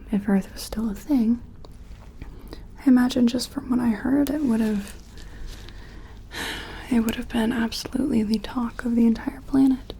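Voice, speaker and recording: A woman playing a timid, awed scientist in a sci-fi ASMR roleplay, whispering and murmuring gently with hesitant, musing phrasing. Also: fairly high pitch, slight background noise, natural voice. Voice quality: breathy whisper mixed with soft, creaky murmur.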